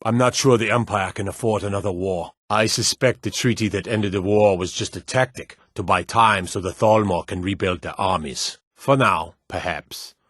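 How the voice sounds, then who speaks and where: A man playing a dignified, courtly lord with a formal, measured way of talking in a fantasy video game. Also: on the low side, a bit bright, no room sound, clean studio sound.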